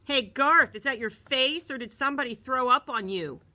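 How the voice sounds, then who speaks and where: A woman's voice: high-pitched, lively intonation, moderate pace, bright, forceful tone, pitched above the performer's own voice, heavily acted. A woman voicing a mean schoolboy bully who turns into a shrieking coward. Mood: mocking. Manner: shrieking, mocking. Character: loud whiny boy bully, panicky coward.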